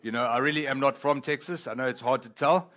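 Lively South African-born pastor preaching. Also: male voice, medium pitch. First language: Italian. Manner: lively and humorous, slows down for scripture. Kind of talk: sermon with prayer and scripture reading. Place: church auditorium with congregation.

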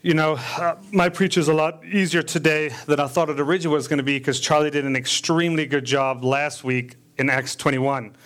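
A man's voice: on the high side, steady tone, a little fast, few pauses, a bit bright. A man from South Africa who grew up in the American Midwest, preaching as a deacon in church and telling his own testimony in a conversational way with frequent fillers and humorous asides. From born in South Africa, raised in the US Midwest. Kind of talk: sermon with personal testimony. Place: church stage.

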